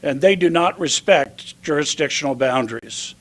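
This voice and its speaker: Higher-voiced man speaking deliberately in public. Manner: deliberate, phrase-by-phrase delivery. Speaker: county commissioner. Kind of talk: public speech at a press announcement.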